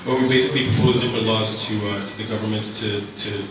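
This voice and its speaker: A young man in his twenties from Zambia telling his life story to an audience in a relaxed, halting way with many fillers. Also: lowish voice, background noise. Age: 20s. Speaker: speaker at a storytelling event. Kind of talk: personal storytelling talk. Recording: echoey room picked up from a distance.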